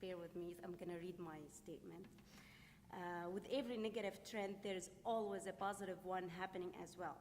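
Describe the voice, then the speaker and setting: A woman's voice: fairly low pitch, steady tone, a little mellow. Syrian-accented woman reading a statement. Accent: Syrian Arabic. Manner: careful, deliberate, slightly halting. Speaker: panelist, refugee volunteer. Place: conference hall. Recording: long reverb with faint noise in a hall.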